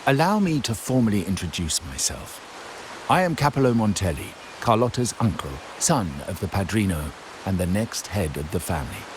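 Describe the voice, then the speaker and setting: An actor playing a proud, scheming heir to a crime family in a video game, speaking in a formal, measured, pompous way. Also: male voice, low voice, big pitch swings, background noise. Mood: formal, gracious.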